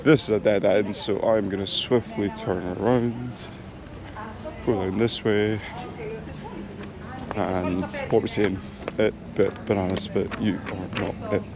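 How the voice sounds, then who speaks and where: A man's voice: lowish voice, fairly even intonation, slow pace, pauses often, crowd noise. A young man chatting casually as he walks around town, thinking aloud with plenty of ums, recorded on an action camera. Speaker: travel vlogger. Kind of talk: casual vlog chat while walking. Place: outdoor town streets and a bakery. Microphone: action camera mic. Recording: noisy outdoor street recording. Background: street traffic and town ambience.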